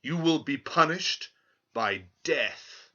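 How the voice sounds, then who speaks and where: A man voicing a greedy fairy-tale king, imperious and deliberate in his commands. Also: a little high, lively intonation, bright, forceful tone, dull audio, voice pushed up, exaggerated voice. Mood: commanding.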